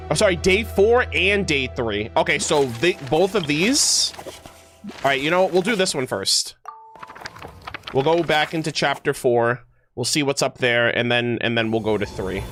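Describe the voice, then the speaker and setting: High-pitched, slangy male streamer. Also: fairly bright tone. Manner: slangy, exclamatory. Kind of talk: casual live game reaction chat.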